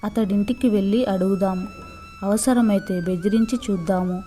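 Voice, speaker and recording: A woman's voice: mid-range voice, steady tone, moderate pace, mellow, faint music behind, natural voice. A woman storyteller voicing a decisive, cunning man in a children's story. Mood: resolute. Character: resolute, cunning man helping his friend.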